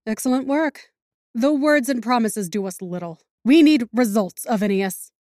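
A woman playing a high-voiced, commanding villainess. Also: darkish tone, dry recording, clean studio sound, lightly acted. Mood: cool command. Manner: poised, imperious, measured. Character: commanding female villain leader, coolly menacing, brooks no dissent. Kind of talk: scripted audio drama acting.

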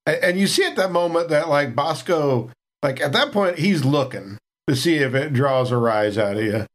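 A man's voice: a little high, expressive, moderate pace. A man playing a tabletop role-playing game, describing his character in a chatty, filler-heavy way. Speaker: player. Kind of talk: casual tabletop game chat.

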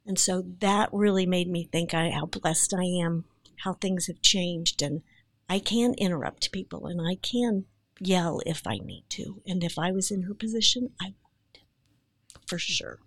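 A woman with a somewhat low voice, speaking with animated, emphatic earnestness. The sound is dry and close to the mic. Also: unhurried, no echo. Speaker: actress. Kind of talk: podcast interview.

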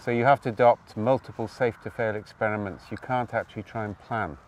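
A man from Wales telling his story outdoors in an interview, animated, with quick asides and tag questions. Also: lowish voice, recorded from a distance, a little hiss or hum. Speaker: guest. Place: outdoors on open hills.

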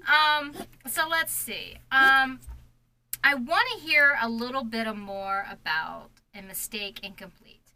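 Slow, bright-voiced female interviewer. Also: on the high side. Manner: slow, chatty, laughs often. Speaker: interview host. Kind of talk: casual live interview. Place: home studio with ring lights.

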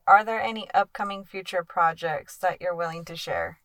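A woman hosting a podcast interview, affirming her guest warmly and tripping over her words as she restarts. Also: on the low side, moderate pace, dry recording. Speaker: podcast host.

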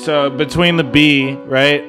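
A man's voice: a little high, steady tone, a little slow. A man co-hosting a podcast, casually explaining music and thinking aloud as he goes. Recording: piano and music playing behind. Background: piano, music.

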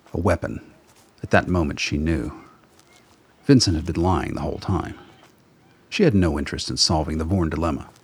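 Deep, husky male narrator. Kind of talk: scripted radio play narration.

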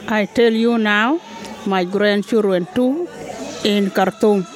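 An older woman with a Sudanese accent, answering interview questions in simple, halting English with short phrases. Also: mid-range voice. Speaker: refugee interviewee at a market. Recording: busy outdoor market crowd noise.

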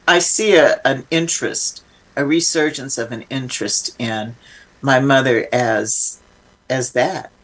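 A woman's voice: rumbling low, measured pace, poor audio. An older woman speaking as an interview guest, reflective and emphatic in a conversational way. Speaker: interview guest, filmmaker and nonprofit founder.

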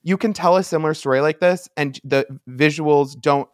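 A man's voice: fairly high pitch, normal speed, dry recording, studio quality. A man in his thirties co-hosting a podcast, speaking effusively and emphatically in a casual fan discussion. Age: 30s. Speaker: podcast host.

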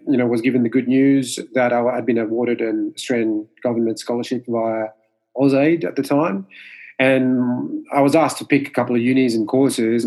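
A man in his forties from Mauritius, whose first language is Creole, giving thoughtful interview answers with many pauses and fillers. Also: mid-range voice, a little mellow, low-quality recording. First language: French Creole. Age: 40s. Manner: measured, with many fillers and restarts. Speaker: martial arts instructor, guest.

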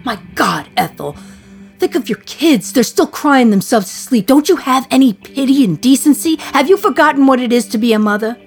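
A woman voicing an older, bitter mother in a radio play who scolds in a shrill, guilt-tripping manner. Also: expressive, moderate pace, darkish tone, breathy edge, faint music behind, full character voice. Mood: scolding.

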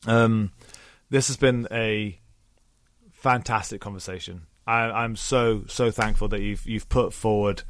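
A man's voice: fairly low pitch, fairly even intonation, a little slow, dry recording. A man in his thirties hosting a podcast, chatting casually in a self-deprecating way, with frequent laughs and offhand swearing. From Britain. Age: 30s. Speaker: podcast host. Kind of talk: casual chat.

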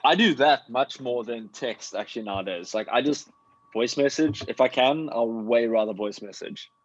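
An American man in casual, conversational podcast chat, with a husky voice and a slightly dull recording. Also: mid-range voice, normal speed. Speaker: podcast performer.

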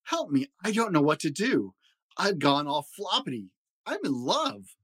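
A man's voice: fairly high pitch, big pitch swings, measured pace, fairly bright tone, dry recording, studio quality, pitched above the performer's own voice, full character voice. A man voicing a big scary shark who is bossy and soppy in love, in a children's storybook. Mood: lovesick, helpless.

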